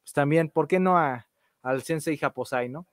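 A man's voice: fairly high pitch, moderate pace. A man hosting a casual livestream interview, chatty and joking in his manner. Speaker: interview host.

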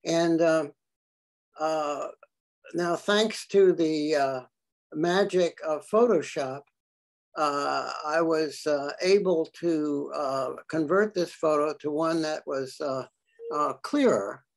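An older man talks through a slide show, stopping often on ums and uhs as he explains each picture. His voice sits a bit high for a man and has a gravelly, creaky edge. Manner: halting, many fillers. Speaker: birding presenter. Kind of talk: slide talk to a club.

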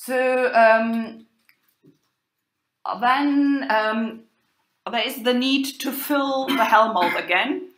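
A woman lecturer giving an unhurried talk, pausing often with ums and uhs. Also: a little high, a bit bright, roomy sound. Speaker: university lecturer. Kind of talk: lecture on medieval drama.

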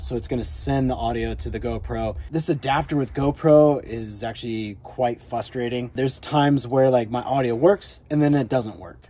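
A man's voice: mid-range voice, warm tone. A man in his thirties giving a casual how-to talk to camera outdoors. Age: 30s. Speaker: motorcycle vlogger explaining gear. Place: outdoors, driveway and forest trailside.

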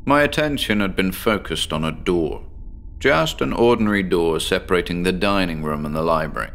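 A deep-voiced, sleepless, eccentric professor. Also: male voice, lively intonation, creaky, fairly bright tone, slight background noise, full character voice. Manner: measured, deliberate storytelling with dramatic pauses. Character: eccentric obsessive male professor, sleepless, confessional. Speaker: patient recounting his experiment to a doctor. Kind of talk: horror audio drama monologue.

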